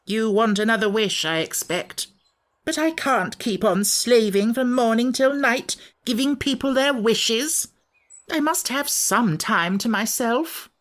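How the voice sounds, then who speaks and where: A man voicing a cranky, put-upon little furry wish-granting creature from a storybook, with a shrill voice that cracks when worked up. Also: expressive, measured pace, a bit bright, full character voice. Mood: grumpy. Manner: indignant, complaining.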